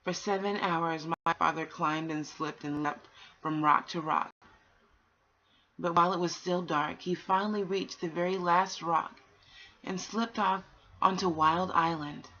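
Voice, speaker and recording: A woman with a slightly husky voice reads a storybook aloud as bedtime narration, unhurried, pausing between phrases. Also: lowish voice, steady tone, sharp tone, slight background noise. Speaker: amateur bedtime story reader. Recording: dull, lo-fi home recording.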